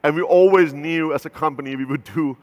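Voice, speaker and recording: A man's voice: fairly high pitch, brisk. Danish guy on a big stage, lots of uh's, echoey hall. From Denmark. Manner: loose and conversational, many filler "uh"s and restarts. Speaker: company founder giving a keynote. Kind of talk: conference keynote talk. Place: large conference stage. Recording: long hall reverb from a big venue.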